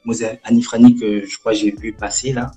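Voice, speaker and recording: Gospel rapper in his twenties from French Guiana, mellow tone, echoey room, halting delivery. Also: medium pitch, little pitch movement, faint music behind. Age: 20s. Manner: halting, many fillers and restarts. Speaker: gospel rap artist guest. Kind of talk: casual live-stream interview.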